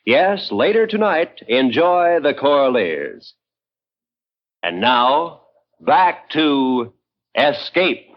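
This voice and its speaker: A man's voice: medium pitch, sing-song, extremely slow. A man making announcements in an old-time radio style. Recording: muffled old radio broadcast.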